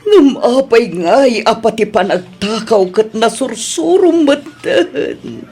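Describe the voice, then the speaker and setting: A woman with a Filipino accent playing a worried, scolding middle-aged mother with a husky voice in an audio drama. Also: on the high side, expressive, slow, dark tone, exaggerated voice. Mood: stern, upset. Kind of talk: Ilocano radio-style drama acting.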